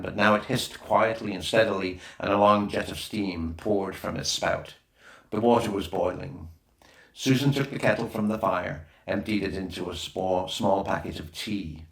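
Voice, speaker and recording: A man's voice: lowish voice, steady tone, normal speed, creaky. A man reading a children's adventure story aloud as a scripted audiobook narration. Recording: roomy, echoing voice recording.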